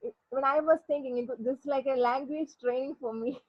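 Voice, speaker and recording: A woman from India, not a native English speaker, talks softly and hesitantly on a video call. Her voice is breathy and fairly high. The call audio is dull and lo-fi. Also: fairly even intonation, fairly quick. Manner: hesitant, soft-spoken. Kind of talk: casual group video chat.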